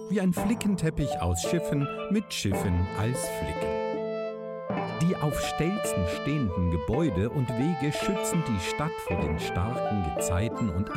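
A man voicing a gruff pirate storyteller, reading scripted narration theatrically with wry deadpan. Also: mid-range voice. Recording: loud guitar music underneath.

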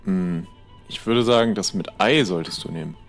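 A man's voice: low-pitched, normal speed, creaky, soft background music. A man playing along in an improvised pirate audio drama, casual and thinking aloud. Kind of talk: casual improvised role-play dialogue.

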